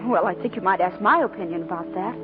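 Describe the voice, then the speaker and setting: A woman's voice: lowish voice, fast talker, lightly acted. An actress playing an earnest, plainspoken young working woman in love, with an American accent, in 1940s radio style. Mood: pleading. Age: young adult. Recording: muffled old radio broadcast with music.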